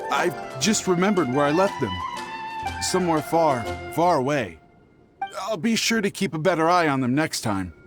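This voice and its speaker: A nervous traveling merchant for an anime-style game. His voice sits high and jumps around in pitch. He sounds hesitant and easily flustered, a bit shifty, over faint game music. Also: sing-song, moderate pace. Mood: resigned, grateful. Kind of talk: scripted anime-style video game voice acting.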